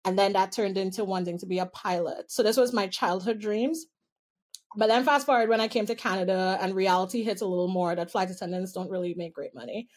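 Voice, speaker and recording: A woman's voice: medium pitch, a little fast, a little mellow. Woman with a Trinidadian accent. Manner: candid, amused, stretches key words. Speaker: guest. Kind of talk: personal storytelling in an interview.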